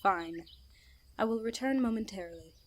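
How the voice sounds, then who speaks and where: A woman's voice: medium pitch, normal speed, slight background noise, lightly acted. A woman playing a devoted, protective royal knight, speaking formally and earnestly in an ASMR fantasy audio roleplay. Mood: guarded, dutiful.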